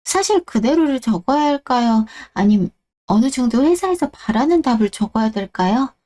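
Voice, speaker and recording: A woman's voice: fairly high pitch, expressive, moderate pace, dark tone. A woman voicing a nervous young first-time job applicant in a radio drama, timid and polite.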